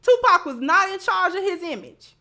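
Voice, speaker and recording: High, bright-voiced American woman. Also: big pitch swings, moderate pace. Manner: emphatic, repeats phrases for effect; informal colloquial speech. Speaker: livestream host. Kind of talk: opinionated commentary, reading out viewer comments.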